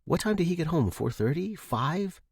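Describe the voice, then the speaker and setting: A man's voice: on the high side, animated, moderate pace, gravelly, darkish tone, breathy edge, dry recording, clean studio sound, pitched above the performer's own voice, heavily acted. A man voicing a groggy, hungover young man who mutters and exclaims crudely to himself in an audiobook. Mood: groggy, puzzled. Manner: muttering, exclamatory self-talk.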